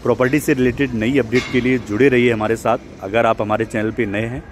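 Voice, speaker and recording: A man with a voice at an ordinary pitch, walking outdoors through a building site while he pitches a property. He explains steadily and drops English terms into his sentences. A faint hum of traffic runs behind him. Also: steady tone, a little fast. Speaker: real estate agent.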